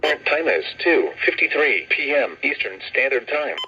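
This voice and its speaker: A man's voice: medium pitch, very animated, normal speed, bright, forceful tone. A man's automated voice reading a weather broadcast as an announcer, played through a lo-fi radio speaker. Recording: dull lo-fi radio speaker playback.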